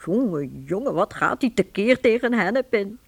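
A woman narrating a scripted radio play with animated, storybook delivery. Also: medium pitch, unhurried, husky.

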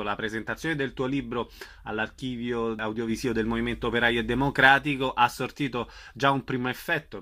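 Bright-voiced male radio interviewer. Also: medium pitch.

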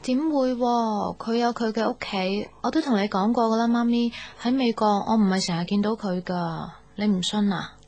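A woman playing a gentle, affectionate young daughter in a radio drama, speaking conversational Hong Kong Cantonese. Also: on the high side, moderate pace, hardly any pauses, lo-fi sound. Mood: teasing, earnest. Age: young adult. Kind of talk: radio drama monologue and dialogue.

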